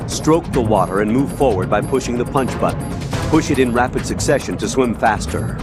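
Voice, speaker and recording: A man's voice: mid-range voice, lively intonation, fairly quick, lightly acted. An actor playing a male soldier explaining swimming controls in a video game tutorial, matter-of-fact. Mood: instructive. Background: loud game music.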